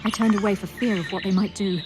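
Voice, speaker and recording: Actress as a guarded, shaken woman survivor, medium pitch. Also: steady tone, fast, noisy background, lightly acted. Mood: grim recollection. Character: guarded, shaken female survivor and protector of royal children. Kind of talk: dramatic video game acting. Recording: music behind the voice.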